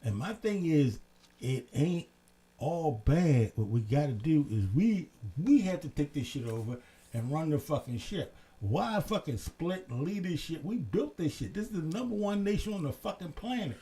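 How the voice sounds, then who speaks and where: A man co-hosting a casual late-night talk show, telling stories in an animated way and swearing freely. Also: fairly high pitch, normal speed. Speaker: co-host.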